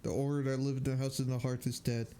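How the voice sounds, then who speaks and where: An actor voicing a level-headed, calmly reasoning man in a video game. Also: medium pitch, fast, slight background noise, close to an everyday voice.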